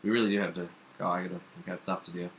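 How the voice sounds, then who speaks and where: A man with a fairly low voice and a bright, forceful edge co-hosts an informal pop culture talk. He rambles through his opinions with emphasis. Some background noise and room ambience sit behind him. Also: flat delivery, very fast, dull audio. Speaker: podcast co-host. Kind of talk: casual opinionated chat about pop culture.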